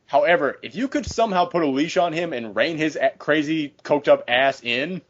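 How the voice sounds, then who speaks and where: A man's voice: a little high, a little fast, lo-fi sound. A man co-hosting a casual group podcast, talking in an emphatic, opinionated way with heavy swearing. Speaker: podcast co-host.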